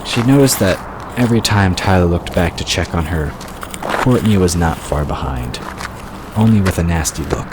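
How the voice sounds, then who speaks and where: A man's voice: sits low, lively intonation, moderate pace, background noise. A man reading scripted fiction narration as an audiobook narrator, in a storytelling style. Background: outdoor ambience and water sound effects.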